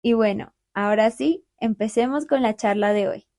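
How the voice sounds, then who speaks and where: Young Colombian woman host, bright-ish voice, dry close mic, calm clear delivery. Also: on the high side, moderate pace, dry recording. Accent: Colombian, Bogotá. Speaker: podcast host. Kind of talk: friendly podcast chat for Spanish learners.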